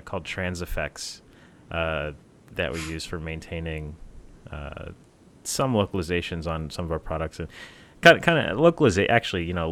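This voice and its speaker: A man with a very low voice talks through software plans in a loose, relaxed podcast chat. He fills his sentences with "uh" and often restarts them. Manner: relaxed, many uh fillers and restarts. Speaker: podcast co-host, software team member. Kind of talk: casual tech podcast chat.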